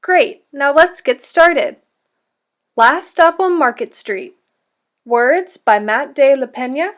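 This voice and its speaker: A woman teacher giving an interactive storybook read-aloud for children, speaking in an instructive, deliberate way. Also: high voice, lively intonation.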